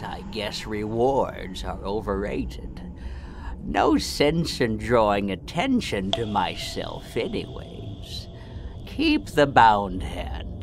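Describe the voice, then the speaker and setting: A man's voice: fairly low pitch, huge pitch swings, very slow, heavily acted. A man playing a crooked sheriff in a sci-fi audio drama, smug and menacing, with a mock-polite, taunting drawl. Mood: smug, dismissive. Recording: noisy with ambient sound behind.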